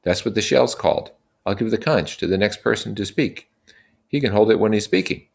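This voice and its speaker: An earnest storybook boy, voiced by a man addressing his group as its young leader. The pitch is lifted, and each plain statement comes out eager and reasonable. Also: fairly quick, vocal fry. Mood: firm, explaining. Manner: declaring in short, plain statements.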